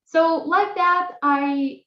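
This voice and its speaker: A woman's voice: very high voice, fairly even intonation, extremely slow. A young woman from Kosovo, a native Albanian speaker with an Albanian accent, telling her own story informally as a guest speaker in a talk. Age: young adult. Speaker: guest speaker, astronomy graduate student. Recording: roomy echo, dull muffled online audio.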